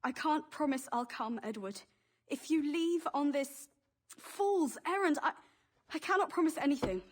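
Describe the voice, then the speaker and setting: A woman's voice: sits high, lively intonation, unhurried. A woman playing a worried, reproachful young wife in a video game, anxious and pleading. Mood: hurt, firm. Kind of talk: video game cutscene acting.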